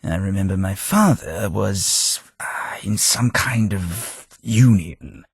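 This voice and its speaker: An actor playing a formal, polite, long-lived London gentleman in a game, speaking with measured diction. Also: fairly low pitch, breathy edge, dry recording, studio quality, exaggerated voice. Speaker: game companion. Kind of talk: game voice acting.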